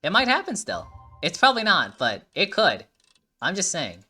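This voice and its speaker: A man's voice: high voice, wildly swooping pitch, normal speed, pushed and bright, slightly rough, faint music behind. A man giving rambling, casual commentary while playing a story game. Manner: rambling, chatty, swears casually. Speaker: game streamer.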